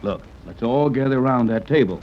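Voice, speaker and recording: A genial captain, acted by a man, talks in an easygoing, reassuring way at medium pitch with lively inflection. It is old radio serial acting on a muffled recording. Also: fairly quick, close to an everyday voice. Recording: dull, faintly noisy 1930s radio recording.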